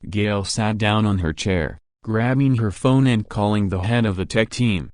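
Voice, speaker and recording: A man's voice: on the low side, normal speed, a little mellow, no echo, clean studio sound. A man narrating a scripted visual novel story as its storyteller.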